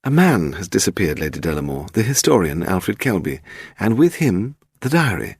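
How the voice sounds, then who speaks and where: A man's voice: sits low, sing-song, normal speed, slightly rough, no room sound, studio quality, heavily acted. A man reading the part of a polite, persistent English woman, a writer's wife, in an audiobook mystery. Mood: earnest, provocative. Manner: poised, persevering.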